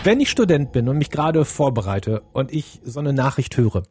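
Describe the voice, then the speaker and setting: Dry, bright, husky male podcast host. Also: mid-range voice, big pitch swings, normal speed, no echo. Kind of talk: conversational interviewing.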